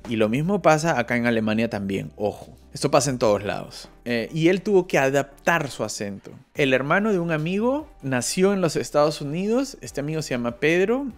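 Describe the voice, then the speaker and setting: A man's voice: a little high, very animated, brisk, hardly any pauses, faint music behind. A man from Peru talking through personal stories in a casual, reflective monologue as host of a language-learning channel. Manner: reflective, storytelling with personal anecdotes. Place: living in Germany.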